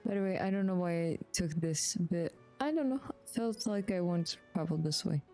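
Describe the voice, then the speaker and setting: A woman live streaming a video game, reacting casually in a slightly flat, mellow voice. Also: fairly low pitch, moderate pace, faint music behind.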